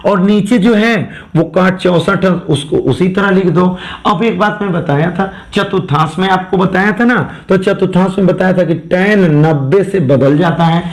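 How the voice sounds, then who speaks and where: A hoarse male teacher walks students through a problem. His voice sits a little high for a man, and his throat sounds worn. He repeats each step and keeps checking that the class is following. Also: normal speed, few pauses. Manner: coaxing, repeats steps and checks understanding. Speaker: math teacher. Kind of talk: classroom math lesson.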